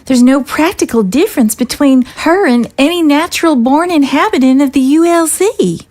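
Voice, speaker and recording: A woman's voice: high voice, normal speed, darkish tone, lightly acted. A woman in an audio drama playing an undead first lady, a worried and protective mother. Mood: troubled. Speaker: voice actor.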